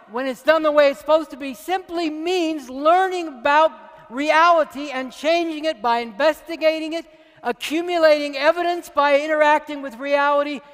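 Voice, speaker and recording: A man explaining in an emphatic, deliberate way, recorded with long reverb. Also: very high voice, a bit bright. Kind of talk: explanatory talk.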